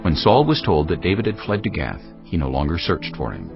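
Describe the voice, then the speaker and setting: Very deep male narrator, expressive. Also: fairly quick, gravelly, dull audio, over music. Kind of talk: scripted dramatic scripture narration.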